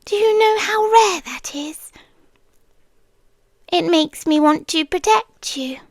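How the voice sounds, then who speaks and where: A woman's voice: very high voice, expressive, slow, no room sound, studio quality, heavily acted. A British woman playing a playful, tender, possessive young forest monster girl in an ASMR roleplay, teasing and intimate, often slipping toward a whisper. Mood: tender, longing.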